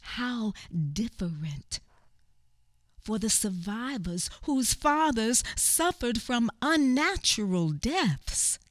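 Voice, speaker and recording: A woman's voice: a little high, sing-song, slow, no room sound. A woman narrating a family memoir in a radio play, somber and often sinking to a whisper. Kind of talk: dramatic radio theatre narration.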